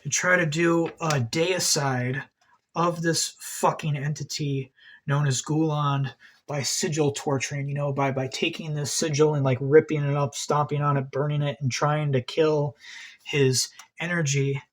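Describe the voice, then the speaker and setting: A man's voice: a little high, little pitch movement, measured pace. A man hosting an occult video, talking in a rambling, agitated monologue, swearing a lot and repeating himself.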